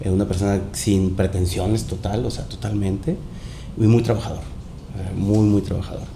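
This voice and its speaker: A man's voice: level tone, normal speed, vocal fry. A man chatting casually as a podcast guest. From Mexico and Panama. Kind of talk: casual chat. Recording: roomy echo, noticeable rumbling noise.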